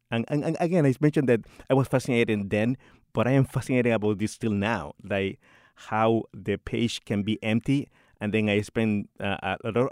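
A man's voice: lowish voice, a little mellow, no echo, studio quality. A middle-aged man from Rico, a composer and church organist talking in a radio interview, hesitant and full of restarts and repeated words. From Puerto Rico.

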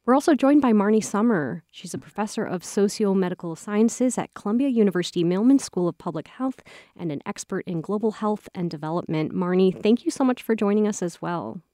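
A woman hosting a public radio talk show, introducing guests and asking questions in a clear, measured way. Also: mid-range voice, lively intonation, dark tone, no room sound, clean studio sound. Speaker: radio host.

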